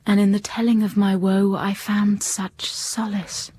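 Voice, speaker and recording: Reflective period heroine narrating. Mellow, slow, even voice, confiding and melancholy. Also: female voice, medium pitch, breathy edge, no room sound. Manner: measured, confiding. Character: reflective, melancholy English heroine recounting her past. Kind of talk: literary first-person narration.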